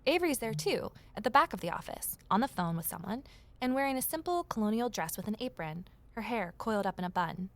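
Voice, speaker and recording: An actress playing a determined, resourceful amateur sleuth in an audio drama, brisk and persuasive as she narrates and talks. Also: medium pitch, lively intonation, close to an everyday voice. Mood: urgent, observant. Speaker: narrator and lead character.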